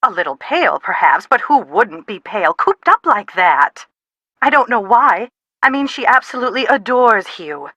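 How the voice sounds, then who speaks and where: A woman playing an anxious, sharp-tongued middle-aged mother in a video game, with a dry, dramatic manner. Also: fairly high pitch, big pitch swings, moderate pace, no echo, exaggerated voice. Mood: worried, pleading. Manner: exasperated, dramatic, dry wit. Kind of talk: video game dialogue, phone conversation acting.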